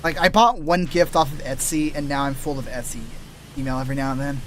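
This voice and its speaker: A man streaming as a VTuber, chatting casually while he plays, his voice a little raspy and tired from days of voice work, full of loose asides and restarts. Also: mid-range voice, fairly even intonation, quick pace, a bit bright, a little hiss or hum. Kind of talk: casual rambling while gaming.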